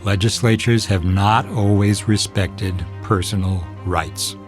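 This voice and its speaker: A grave old judge, lowish voice, faint music under it. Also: male voice, fairly even intonation. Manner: measured, formal, grave. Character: stern older male judge reading a dissent.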